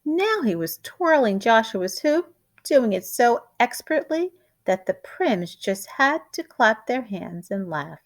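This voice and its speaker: Female storybook reader, teacherly and expressive, unhurried pace, deliberate dramatic delivery for young children. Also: fairly high pitch. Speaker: teacher reading a picture book aloud.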